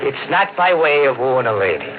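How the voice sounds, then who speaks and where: Actor as a wry trucker, old radio. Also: male voice, medium pitch, very animated, normal speed, heavy background noise, natural voice. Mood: wry, defiant. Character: hardy Irish trucker, wry, troubled storyteller. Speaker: narrator and lead. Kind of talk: 1950s radio drama acting and narration. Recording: muffled old radio broadcast, music underneath.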